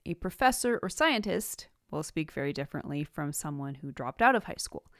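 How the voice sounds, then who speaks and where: A woman with a slightly low voice delivers scripted instructional narration as a podcast host. Her manner is measured and orderly, laying out points one by one. The recording is close and dry, with very little room sound. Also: no echo. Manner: measured, enumerating points clearly. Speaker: podcast host giving writing tips.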